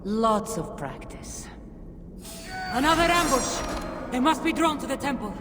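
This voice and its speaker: A woman's voice: high-pitched, animated, unhurried, music in the background. An actress with a Greek accent playing a wry, teasing, seasoned warrior woman in a video game. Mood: urgent. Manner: teasing, dry, bantering. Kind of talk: cinematic video game acting.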